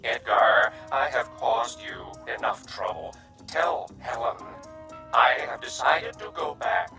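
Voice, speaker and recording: A gentle insect alien, speaks slowly and with great formality. His breathy, bright voice is wrapped in echo. He sounds noble and earnest, as in a radio play. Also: mid-range voice, expressive. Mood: solemn, resolved. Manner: formal, measured, stilted speech without contractions. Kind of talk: radio drama acting. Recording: echoing voice with music behind.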